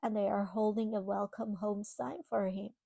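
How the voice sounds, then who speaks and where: A woman reading a children's picture book aloud, expressively, pausing to explain things to young listeners. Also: mid-range voice, fairly even intonation, vocal fry, warm tone, dry recording. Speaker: children's storybook reader. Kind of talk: read-aloud storytelling to young children with simple asides.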